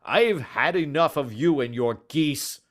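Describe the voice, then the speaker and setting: A man reading aloud and voicing an angry, quarrelsome market salesman who shouts fiercely. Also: medium pitch, sing-song, unhurried, pitched above the performer's own voice.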